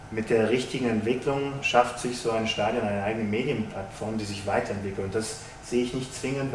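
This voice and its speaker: A man in his thirties, a sponsorship executive, giving measured businesslike interview answers with frequent hesitations, in a stadium lounge. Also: lowish voice, a little mellow. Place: stadium lounge with glass walls, indoors. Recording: roomy, distant mic, faint hiss.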